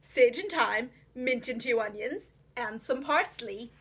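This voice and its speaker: A woman voicing a sly, well-dressed gentleman fox in a storybook, formal and commanding in manner. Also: a little high, lively intonation, slow pace, slightly rough, heavily acted. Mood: smooth, courtly. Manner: suave and formal, turning harsh and commanding.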